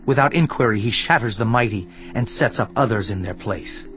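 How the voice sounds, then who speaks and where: A man's voice: fairly low pitch, brisk, close to an everyday voice. A man playing an earnest, indignant counselor in a dramatized scripture reading, solemn, emphatic and declamatory in delivery. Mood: fervent rebuke. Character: Elihu, earnest indignant male counselor rebuking a suffering man. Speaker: voice actor in audio Bible. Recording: slightly dull sound, music underneath. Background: background music.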